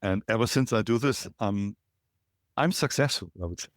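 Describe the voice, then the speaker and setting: A middle-aged man from Germany with a German accent, a podcast guest calling in remotely and telling his story in a reflective, halting way with many fillers. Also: lowish voice, dry recording, clean studio sound. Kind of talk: casual interview, storytelling. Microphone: remote call.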